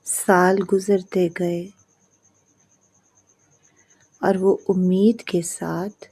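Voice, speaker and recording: Dark, husky woman storyteller. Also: fairly low pitch, flat delivery. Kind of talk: scripted narration of a moral story.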